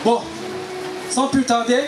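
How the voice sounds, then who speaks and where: A man's voice: very high-pitched, flat delivery, takes it slowly, reverberant, noisy background. A man preaching as a guest, exhorting the congregation and punctuating his phrases with amens. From Haiti. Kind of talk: sermon with call and response.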